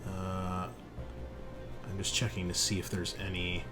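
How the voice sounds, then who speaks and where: A man narrating a tabletop roleplaying game as game master, improvising with pauses. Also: sits low, completely flat delivery. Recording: music playing under the voice. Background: music.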